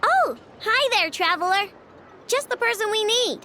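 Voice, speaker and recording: A woman's voice: very high-pitched, animated, unhurried, pushed and bright, a little hiss or hum, heavily acted. A woman voicing a bubbly, stubborn little inventor girl in a video game, chatty and self-assured. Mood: breezy, eager. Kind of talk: video game character acting.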